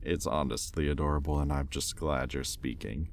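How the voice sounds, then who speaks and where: Demon captor for audio roleplay, male actor: very deep pitch, sardonic and teasing, arrogant, curious, intimate delivery, slight background noise. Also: steady tone, normal speed. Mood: amused, teasing. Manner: sardonic, teasing, conversational with swearing.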